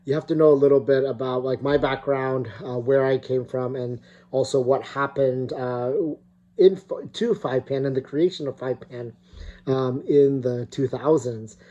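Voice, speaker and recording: A man's voice: mid-range voice, a little slow, dark tone, muffled recording. A middle-aged man from California giving a recorded opening talk as guest speaker, hesitant with many fillers and restarts. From California, United States.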